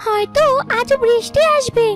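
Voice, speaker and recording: A woman voicing an eager, excitable little bird child in a children's cartoon. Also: very high voice, moderate pace, over music. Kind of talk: Bengali children's cartoon acting.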